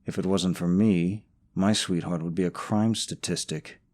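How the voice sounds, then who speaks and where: Smug, low-voiced possessive boyfriend played by a man. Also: steady tone, normal speed, a little mellow, close to an everyday voice. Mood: smug, mocking. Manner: smug, sarcastic, cloyingly sweet to listener. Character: smug possessive young boyfriend stalker, sneering at his rival. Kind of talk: scripted romantic audio roleplay.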